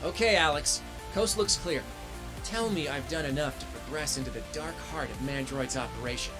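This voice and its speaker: An actor playing a plucky, defiant heroic robot in a video game, with a wry, quippy manner. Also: male voice, a little high, moderate pace, lightly acted. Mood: wry, weary. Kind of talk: video game cutscene acting. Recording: loud music behind the voice.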